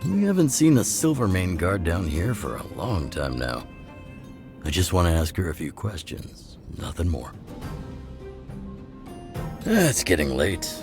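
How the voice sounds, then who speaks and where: A man playing a gruff but kindly older underground leader in a video game, with a gravelly voice and an unhurried, avuncular manner. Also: sits low, very animated, exaggerated voice. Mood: calm, reassuring. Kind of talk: video game story dialogue. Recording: clear music under the voice.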